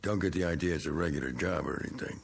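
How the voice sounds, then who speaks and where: A gruff, deep-voiced man. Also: very fast, slight background noise. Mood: pushy. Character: gruff middle-aged man clearing out his attic. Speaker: actor in a TV drama. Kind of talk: 1980s TV drama acting.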